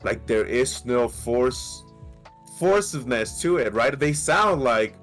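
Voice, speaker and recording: A man with a light Mexican accent who co-hosts a podcast. He argues in a casual, animated way, often restarting and asking "right?". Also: medium pitch, slow pace, soft background music. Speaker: podcast co-host. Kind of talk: casual debate.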